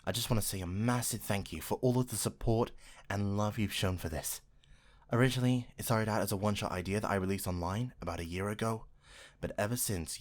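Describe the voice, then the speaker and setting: A man speaks as a channel creator in a casual outro. He addresses his viewers directly and informally, in the manner of a friendly sign-off. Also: fairly low pitch.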